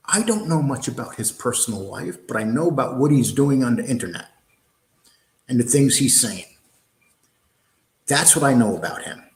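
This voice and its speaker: A New York-accented man hosts a live show and answers the chat in blunt, combative terms, at a mid-range pitch. His talk is full of fillers and restarts. The echoey room gives the voice a hollow ring. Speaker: host of a live online show. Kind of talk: live-stream chat, answering viewer comments.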